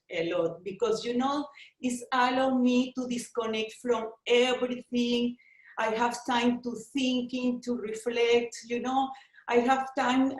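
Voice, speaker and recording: A woman from Venezuela with a Venezuelan Spanish accent, a native Spanish speaker telling a personal story in halting, repetitive, earnest English on a video call. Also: fairly high pitch, reverberant, rough recording. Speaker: guest speaker, Camino tour company founder.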